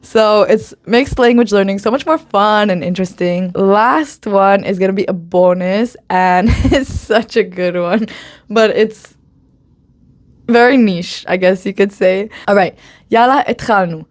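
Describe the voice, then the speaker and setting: A woman's voice: medium pitch, moderate pace. Brief: upbeat woman host, casual lesson, easy mid-range voice, chatty with frequent laughs. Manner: drops in Hebrew phrases. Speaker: Hebrew slang teacher.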